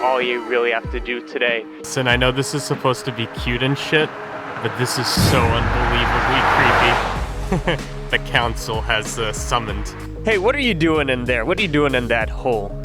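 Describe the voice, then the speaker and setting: A young man with a congested voice talking casually to viewers while walking outdoors, with joking asides. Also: mid-range voice. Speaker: vlogger walking across a state. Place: outdoors by roadsides.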